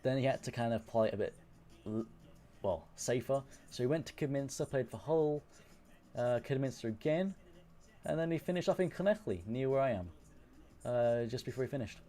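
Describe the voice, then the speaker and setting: Young male streamer, Welsh accent worn English, warm low-key tone, loose rambling chat. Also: animated, moderate pace. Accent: Welsh with English influence. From Wales. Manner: rambling, swears casually, answers chat. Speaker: live streamer. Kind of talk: casual live-stream chat.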